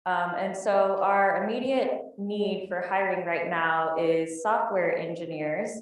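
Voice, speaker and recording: A woman speaking casually and a little haltingly as she introduces her company on a panel. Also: lowish voice. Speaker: startup representative on a panel. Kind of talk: informal company introduction to audience. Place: large room at live event. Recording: distant mic in very echoey room.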